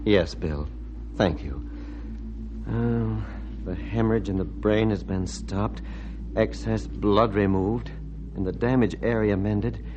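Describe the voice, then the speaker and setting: A grave male surgeon in a vintage radio play. He speaks in a lowish voice with measured, deliberate phrasing, solemn and humble. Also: highs cut off, noisy background. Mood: tense, worried. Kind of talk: old-time radio acting.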